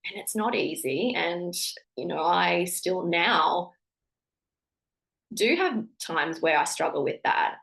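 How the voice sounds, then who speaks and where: A woman in her thirties, a little croaky and congested, hosting a solo podcast about motherhood in an encouraging, conversational way with frequent ums and restarts. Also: lowish voice, expressive, slow pace, poor audio. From Australia. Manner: warm encouraging tone with filler-heavy restarts. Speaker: podcast host.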